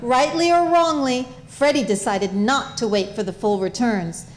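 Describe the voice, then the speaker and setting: A woman's voice: a little high, unhurried. A woman narrating a comic stage play as scripted storytelling.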